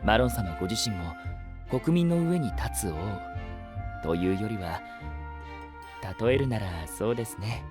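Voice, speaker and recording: A man's voice: on the low side, expressive, measured pace, pauses often, music in the background. A man playing a gracious, courteous knight who speaks formally and politely in an anime-style audio drama. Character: gracious, polite male knight hosting guests.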